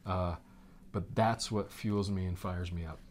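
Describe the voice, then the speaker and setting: Male, 40s, American, lowish voice, interview guest with an informal, emphatic conversational delivery. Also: fairly even intonation, a little slow, a little mellow, a little hiss or hum. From United States. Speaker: interview guest, network marketing executive.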